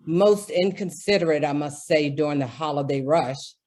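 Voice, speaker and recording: A woman's voice: sits low, normal speed, a little mellow. A woman playing a prim, irritable male department-store floor walker in an old-time radio drama, stuffy and officious. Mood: peevish.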